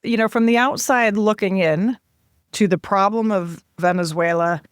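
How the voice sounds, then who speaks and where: A woman's voice: medium pitch, normal speed, smooth, no room sound, clean studio sound. A woman hosting a policy podcast, reading a scripted intro and asking probing interview questions in a poised manner.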